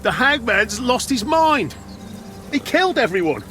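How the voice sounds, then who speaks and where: An actor playing a frightened, rough-spoken dungeon guard in a video game, giving an urgent, blunt report. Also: male voice, very high voice, very animated, measured pace, pushed and bright, a little hiss or hum, full character voice. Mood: panicked. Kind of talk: dramatic video game cutscene acting.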